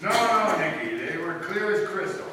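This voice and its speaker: A man's voice: high voice, normal speed, bright, forceful tone. A man playing a courteous, confident detective in an old-time radio mystery, with polite, formal, decisive speech. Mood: confident, brisk. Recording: echoey noisy hall, distant mic.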